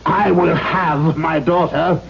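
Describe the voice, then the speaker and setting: A proud, cruel old father with a high, creaky voice. He speaks in halting, emphatic bursts, in the manner of 1970s radio drama. The sound is a dull old broadcast. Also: steady tone, a little hiss or hum. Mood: harsh, outraged. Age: older. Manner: halting, emphatic, repeating words.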